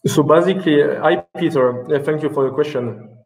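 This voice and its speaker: A man in his thirties giving a business presentation over a video call and answering questions, speaking haltingly with frequent restarts and fillers. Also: a little high, vocal fry, a little mellow. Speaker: keynote speaker. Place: indoor room.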